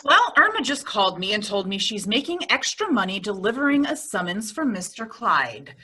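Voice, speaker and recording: A woman as a bright, husky, wry storyteller. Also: medium pitch, very animated, normal speed, exaggerated voice. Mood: wry, amused. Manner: wry, sardonic. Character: wry, sensible female friend narrating her roommate's antics. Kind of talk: old-time radio comedy narration.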